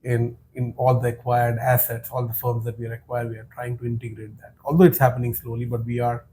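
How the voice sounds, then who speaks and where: A man hosting a business podcast, asking questions in a steady, flat delivery with frequent yeahs and you-knows. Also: medium pitch, brisk, slight background noise, poor audio. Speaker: podcast host. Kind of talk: conversational podcast interview.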